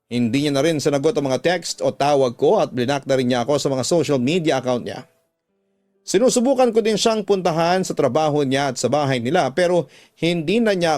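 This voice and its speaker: A man's voice: fairly high pitch, a little mellow. A man with a Filipino accent reading a listener's letter aloud as a dramatic radio storyteller and host. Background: background music.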